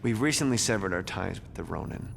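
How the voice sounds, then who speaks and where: An actor playing a smug, calculating corporate executive in a video game, wry and unflappable. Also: male voice, low voice, animated, fast talker, in a large hall, slight background noise, exaggerated voice. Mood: smug, composed. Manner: smooth-talking, wry, unflappable. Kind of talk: video game cutscene acting.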